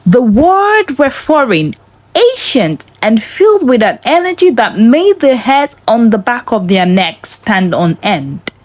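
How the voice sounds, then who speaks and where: A female narrator reads a suspenseful folktale with a dramatic delivery. Her voice sits a little high and swings expressively up and down. She takes her time over each phrase, keeping a slow, measured pace. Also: very animated, darkish tone, no room sound. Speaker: storyteller narrator.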